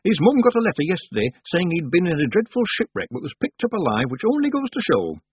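A man's voice: high voice, big pitch swings, quick pace, husky, no echo, narrow, tinny audio, voice pushed up, full character voice. A man voicing a chatty, emphatic village woman in an audiobook story, a scold and a gossip. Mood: gossipy. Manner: chatty, emphatic, deferential to the witch.

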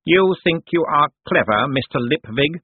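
A man voicing a menacing, haughty banker villain with a slow, pompous sneer. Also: a little high, expressive, breathy edge, no room sound, phone-quality sound, pitched above the performer's own voice. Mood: sneering, threatening.

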